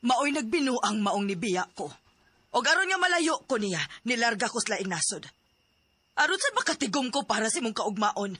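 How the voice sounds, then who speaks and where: A patient mother in an audio drama, voiced by an actress, with a breathy, bright voice. She talks gently and pleadingly to her grown son. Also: a little high, very animated, normal speed, no echo, full character voice. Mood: explaining.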